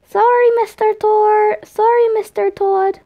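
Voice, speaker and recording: A woman's voice: very high voice, little pitch movement, slow, muffled recording, pitched above the performer's own voice, exaggerated voice. A woman voicing a cheeky, stubborn little boy in a story, with a whining, pleading, childish manner.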